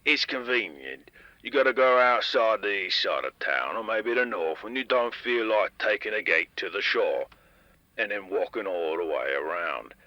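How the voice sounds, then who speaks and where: A man's voice: lowish voice, animated, moderate pace, dry recording, dull audio, natural voice. A man playing a stern, fed-up town security chief lecturing listeners in a public announcement in an audio drama. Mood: exasperated.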